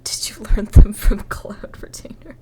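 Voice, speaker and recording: A woman's voice: measured pace, mellow, whispered. A woman gaming creator giving informal, reactive let's play commentary.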